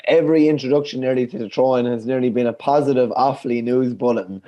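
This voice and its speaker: Enthusiastic croaky Irish man. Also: mid-range voice, fast talker, warm tone, lo-fi sound. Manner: enthusiastic, chatty. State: slightly croaky voice. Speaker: podcast co-host. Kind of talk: casual sports chat. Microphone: webcam video call.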